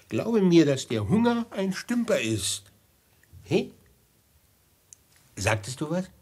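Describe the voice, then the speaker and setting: A man's voice: mid-range voice, slightly rough, heavily acted. An actor playing a gleeful, chatty hangman who fusses over his condemned prisoner with theatrical, cajoling affection in a 1950s radio play.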